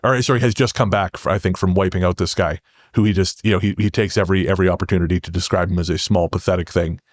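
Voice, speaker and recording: A man chatting as a podcast guest about his writing, rephrasing mid-sentence in an earnest, halting way. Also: low voice, fairly even intonation, no echo, studio quality. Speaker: podcast guest, horror fiction writer. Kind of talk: casual podcast conversation, storytelling.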